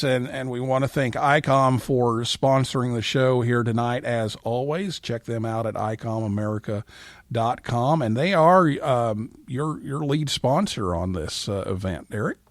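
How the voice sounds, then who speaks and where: A man's voice: medium pitch, expressive, moderate pace, no room sound, studio quality. A man hosting a live call-in show, speaking as the presenter. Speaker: podcast and radio show host.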